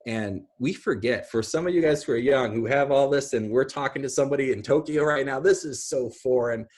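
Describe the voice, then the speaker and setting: Husky-voiced man in his forties. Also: mid-range voice, brisk, darkish tone. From small rural town. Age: 40s. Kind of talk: casual discussion on a video call. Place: small room at home, indoors. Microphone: computer on a video call.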